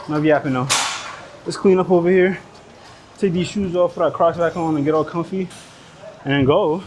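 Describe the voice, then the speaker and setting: A man's voice: mid-range voice, background noise. A man from Nigeria with a Nigerian accent, speaking English as his second language and chatting casually to the camera mid-workout in a slangy, joking, sometimes profane way, recorded on a clip-on mic. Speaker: fitness vlogger. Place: busy indoor gym. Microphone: clip-on body mic. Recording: gym chatter behind.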